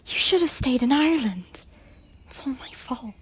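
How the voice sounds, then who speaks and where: A woman voicing a guilt-ridden former queen who pleads in breathy, often whispered lines. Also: high voice, expressive, normal speed, heavily acted. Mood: pleading. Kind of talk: fan audio drama acting.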